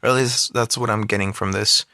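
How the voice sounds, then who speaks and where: A lower-voiced man with a bright, forceful edge talks through his gameplay, circling back with ums and restarts. The sound is close and dry, with no room around it, and picked up by a phone. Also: steady tone, brisk, dry recording. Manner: rambling, many fillers and restarts. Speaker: mobile game player. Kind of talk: casual gameplay commentary.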